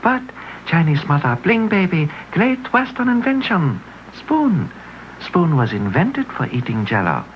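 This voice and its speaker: Vintage ad narrator, female, very animated low voice, broken English phrasing, dull noisy old broadcast sound. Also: unhurried. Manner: broken, pidgin-style English delivery. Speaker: commercial narrator. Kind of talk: vintage TV commercial storytelling.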